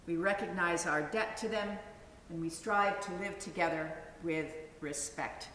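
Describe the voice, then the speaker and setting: A woman's voice: fairly low pitch, a little slow, fairly bright tone. A woman minister welcoming the congregation to a worship service, in a conversational way with an occasional uh. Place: large meeting house. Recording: echoey hall, from a distance, faint noise.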